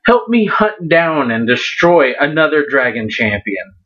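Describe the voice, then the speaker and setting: A man voicing a towering, jovial yet ferocious sea captain in a slow, declamatory storybook reading. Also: fairly high pitch, big pitch swings, fairly bright tone. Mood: conspiratorial. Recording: dull lo-fi recording.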